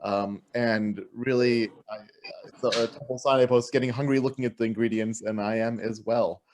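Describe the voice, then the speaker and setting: A man's voice: mid-range voice, steady tone, moderate pace, noisy background. A man hosting a video-call conference session, introducing the guests in a rambling way with many fillers. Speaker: conference host. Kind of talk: casual introduction.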